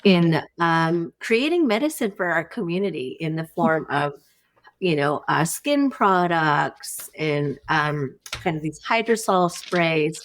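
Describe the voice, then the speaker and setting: A middle-aged woman chatting casually as a podcast guest, expressive and informal in the way she talks. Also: deep voice. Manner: expressive, informal, peppers talk with "like" and "you know". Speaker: guest, farmer and former academic. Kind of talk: casual, conversational podcast chat.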